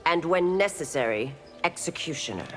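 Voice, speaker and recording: A woman's voice: low-pitched, lively intonation, normal speed, faint music behind. A woman playing a tough chief constable in a fighting game, firm, curt and no-nonsense. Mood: stern. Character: stern, dutiful female chief constable, tough law enforcer. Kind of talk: fighting game dialogue acting.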